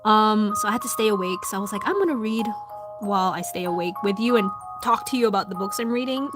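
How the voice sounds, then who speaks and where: A young woman with a Filipino accent chatting casually with her livestream viewers while drawing, soft-spoken with lots of ums. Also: a little high. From Philippines. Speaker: livestream host. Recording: background music. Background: music.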